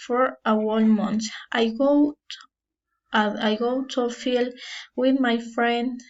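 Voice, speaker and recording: A young Ecuadorian student with a Spanish accent reads her prepared presentation, stopping to correct herself now and then. Her voice sits a little high but barely rises or falls, and she takes her time. The recording sounds dull and lo-fi. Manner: halting, with restarts and self-corrections. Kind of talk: reading a prepared class presentation.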